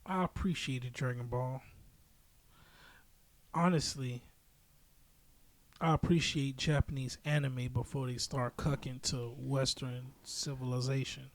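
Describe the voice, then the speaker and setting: A man's voice: medium pitch, fairly even intonation, measured pace, long pauses. A man giving casual, opinionated podcast commentary as a host, speaking bluntly and emphatically with frequent swearing.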